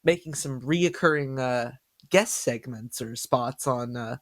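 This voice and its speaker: A man hosting a podcast in a casual chat, with a nasal voice, excitable and stumbling over his words. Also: mid-range voice, unhurried, no echo, clean studio sound. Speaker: podcast host.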